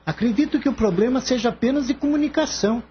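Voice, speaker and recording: A performer playing a gentle, earnest young male assistant with a Brazilian accent in a radio drama, speaking politely and deferentially. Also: mid-range voice, fast talker, natural voice. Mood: earnest, insistent. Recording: muffled radio-play recording, light noise.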